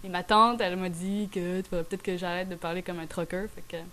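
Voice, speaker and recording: A woman's voice: lowish voice, fairly even intonation, fast talker, a crowd in the background. A young woman from France with a Québécois accent, a guest chatting casually, rambling with many fillers and English words mixed in. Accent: Québécois French. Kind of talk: casual chat.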